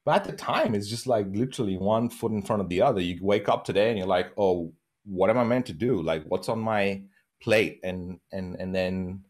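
A man's voice: lowish voice, normal speed. A man from Bahrain in his thirties, a podcast guest talking earnestly from his home over a video call, laughing often and restarting his sentences. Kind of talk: reflective interview conversation.

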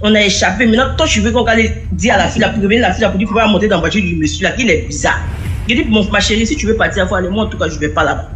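Give me a young woman, talking fast and rambling. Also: medium pitch, slight background noise. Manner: rambling, repetitive, breathless telling. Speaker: caller telling a story. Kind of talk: casual spoken testimony.

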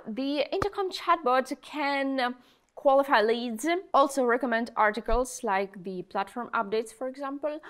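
A young woman with a fairly high voice presents a product review. She talks in a chatty, conversational way, stressing her points with enthusiasm. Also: a bit bright. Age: young adult. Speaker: presenter of software review videos. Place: small indoor studio room at a desk. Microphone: lapel mic.

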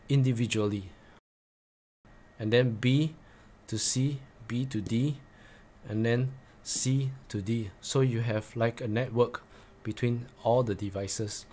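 A man's voice: medium pitch, a little mellow. A man in his forties presenting a step-by-step tutorial in a plain, instructional way, repeating key points for emphasis. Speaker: presenter of motorcycle gear tips.

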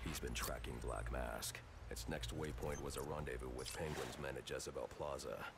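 A man playing a grim, brooding masked vigilante in a video game, speaking tersely and sternly with a gravelly voice. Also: a bass voice, steady tone, moderate pace, noisy background. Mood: determined. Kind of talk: video game cutscene acting. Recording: music behind the voice.

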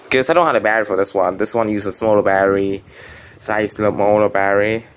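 A man's voice: fairly low pitch, steady tone, fast talker. A young man whose first language is Vietnamese, casually rambling through a show-and-tell with many restarts and fillers. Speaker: phone collector vlogger. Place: bedroom at home.

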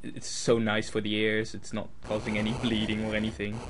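Rambling Dutch-accented young gamer. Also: male voice, fairly low pitch, monotone, brisk, background noise. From Netherlands. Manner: rambling, self-mocking, many fillers. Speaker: let's play host. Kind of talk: casual game commentary. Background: video game music.

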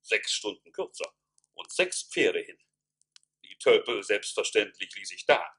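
An actor playing a pompous imperial envoy with a declamatory, astonished manner in a radio play. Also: male voice, fairly low pitch, sing-song, a little slow, sharp tone, slightly rough, full character voice. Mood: dismissive. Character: pompous mustachioed male imperial envoy on horseback.

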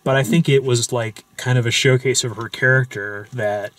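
A man's voice: mid-range voice, fairly even intonation, moderate pace, a bit bright. A young man chatting casually about a film in a parked car at night, talking loosely with many fillers and restarts. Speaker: movie discussion host.

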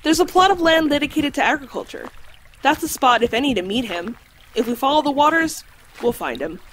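A woman voicing a learned talking dog in an audio drama, lecturing precisely and calmly on lore. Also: sits high, fairly quick, slight background noise. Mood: lecturing, composed. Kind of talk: audio drama acting, explanatory storytelling.

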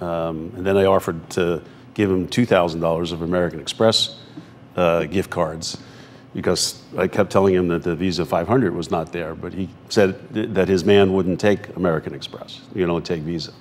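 Low-voiced older man, halting storyteller. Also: steady tone, slight background noise. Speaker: talk show guest. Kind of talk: recounting a personal story in conversation. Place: TV studio.

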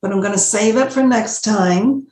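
A woman's voice: medium pitch, normal speed, a little mellow. An older American woman hosting a casual chat from a room at home over a video call. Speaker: host. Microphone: computer mic on video call. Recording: roomy echo on video call.

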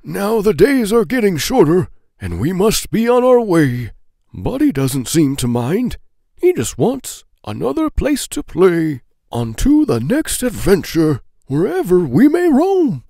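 Male narrator for children's rhymes. Playful and expressive, big pitch swings, measured pace, very dry sound. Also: vocal fry, no room sound. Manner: playful, deliberate, sing-song delivery of rhyming verse. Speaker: children's book read-aloud narrator. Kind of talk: expressive children's poetry storybook reading.

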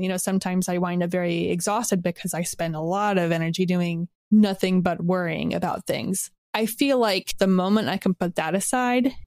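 A woman's voice: fairly low pitch, darkish tone, no echo, studio quality. A woman talking reflectively and informally as a podcast guest. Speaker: podcast guest, audio drama creator. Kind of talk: reflective interview talk.

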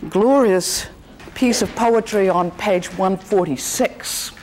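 A woman's voice: medium pitch, slow, a little mellow, a little hiss or hum. A woman lecturer delivering a literature lecture.

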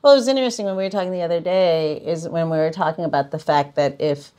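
A woman hosting a casual interview, thinking aloud in a halting way as she puts her points together. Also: low-pitched, dark tone. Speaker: podcast host.

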